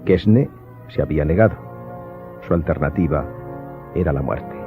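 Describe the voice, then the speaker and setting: A man's voice: a bass voice, moderate pace. A man narrating a scripted audiobook as the storyteller. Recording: narrow phone-like sound, faint music behind.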